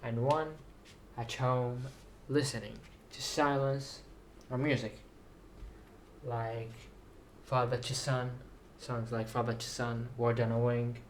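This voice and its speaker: A man with a sore throat talking in a rambling, halting monologue to his viewers, often repeating words as he introduces his own writing. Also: medium pitch, slight background noise. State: sore throat, slight fever. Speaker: writer introducing and reading own story. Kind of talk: slow rambling video monologue.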